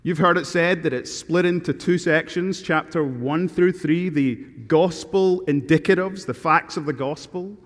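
A middle-aged man from Scotland with a thick Glaswegian accent, preaching a sermon as guest preacher and reading scripture with slow, earnest emphasis at a podium mic. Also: on the high side. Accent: Glaswegian Scottish. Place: large church sanctuary. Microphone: podium microphone. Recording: long church reverb.

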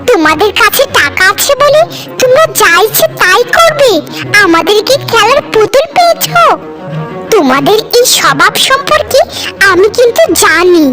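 A woman's voice: extremely high pitch, exaggerated voice. A woman voicing a proud, resentful poor village wife in a cartoon, accusing and sarcastic. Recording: music behind the voice.

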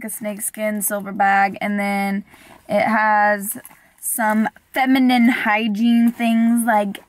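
A young woman vlogging casually from inside a parked car, chatty and giggly, saying "like" a lot. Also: on the high side. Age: young adult. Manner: chatty, giggly, says "like" often. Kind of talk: casual vlog chat. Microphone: camera on the dashboard.

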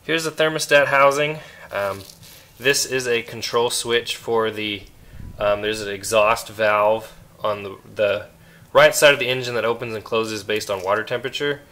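A man's voice: lowish voice, moderate pace. A man casually explaining step by step how he takes apart a car's cooling system, recorded outdoors on a handheld camera while he works. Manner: relaxed, explains step by step, asks viewers questions. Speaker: car restoration vlogger. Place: outdoor driveway by a car engine.

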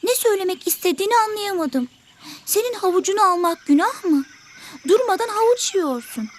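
A woman's voice: extremely high pitch, animated, a little fast. A woman voicing a small, selfish, stubborn bird pupil in an educational cartoon, whiny and argumentative. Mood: defiant.

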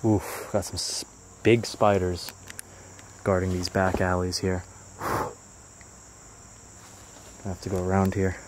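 A young man filming himself as he explores a ruined town, narrating what he finds in a curious, hushed way. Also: deep voice, little pitch movement, takes it slowly, long pauses, a little hiss or hum. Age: young adult. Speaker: urban explorer vlogger. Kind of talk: walking exploration commentary. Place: outdoors and inside ruined buildings. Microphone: handheld camera held at arm's length.

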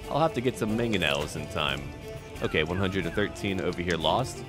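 A man with a deep voice narrates his strategy game as a roleplay. His pitch moves around expressively as he muses aloud in short, clipped remarks. Faint music plays behind him. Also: lively intonation, fairly quick. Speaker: gaming video host.

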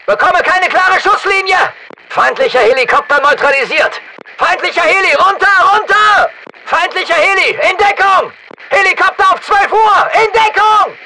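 An actor playing a battle-hardened special forces soldier in a shooter game, giving clipped, commanding callouts over military radio. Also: male voice, very high voice, animated, moderate pace, heavy background noise. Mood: urgent shouting.

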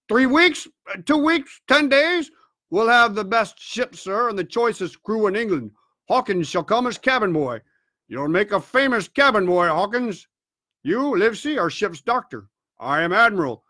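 A man reading a storybook aloud, voicing an excitable, boastful country squire who talks grandly and rushes ahead of himself. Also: high voice, no room sound, clean studio sound. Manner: eager, grand, rushing ahead.

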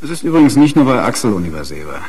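A man's voice: mid-range voice, big pitch swings, racing, a little mellow, slightly rough. An actor playing a pompous, needling middle-aged husband and father in a radio drama, condescending and self-righteous. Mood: needling, smug. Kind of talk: naturalistic radio drama acting.

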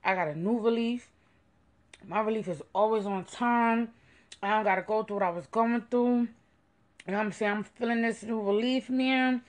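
A woman's voice: on the high side, moderate pace, no echo. Middle-aged woman, playful and talkative vlogger on a close-held phone. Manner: playful, talkative, sing-song asides. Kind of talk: casual chat to camera. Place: living room at home, then parked car. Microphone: phone camera held close.

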